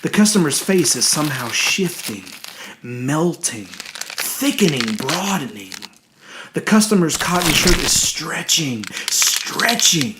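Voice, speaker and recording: A man reading a horror story as dramatic, scripted narration, deliberate and suspenseful, dwelling on his words. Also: a little high, sing-song, background noise.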